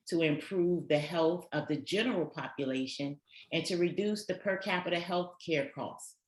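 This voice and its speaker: Low-voiced woman hosting, full of ums. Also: reverberant, poor audio. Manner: hesitant, many "um" fillers. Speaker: subcommittee co-chair and moderator. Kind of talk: hosting a virtual learning session.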